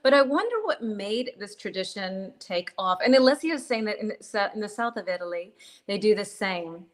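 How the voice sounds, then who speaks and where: A middle-aged American woman hosting a casual live-stream English lesson, chatting in a relaxed way with many fillers and self-corrections. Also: medium pitch, brisk. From United States. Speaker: English teacher, host.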